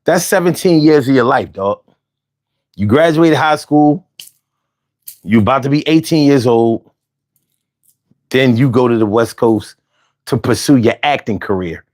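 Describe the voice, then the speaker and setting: Man in his forties, philly accent, argumentative, slangy, lively pitch swings. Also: a little slow, long pauses. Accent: Philadelphia. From Philadelphia. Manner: animated, argumentative, slangy, restarts often. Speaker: host. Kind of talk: casual opinionated monologue.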